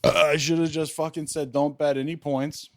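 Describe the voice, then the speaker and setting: A man's voice: on the high side, little pitch movement, fairly quick, darkish tone, no echo, clean studio sound. A man hosting a casual podcast, bantering in a blunt, teasing, profane way. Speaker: podcast host.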